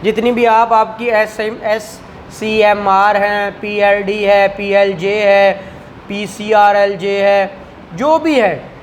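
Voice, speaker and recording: A woman's voice: medium pitch, slight background noise. A woman with a Pakistani accent lecturing a class on law, conversational, asking questions as she explains and switching into English terms. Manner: conversational, frequent rhetorical questions and acted-out dialogue.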